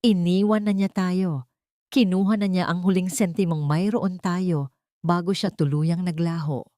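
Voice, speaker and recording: A woman's voice: fairly low pitch, steady tone, measured pace, warm tone, no echo, studio quality, lightly acted. A woman voicing a bitter, resigned adult son in an audiobook drama, weary and reproachful.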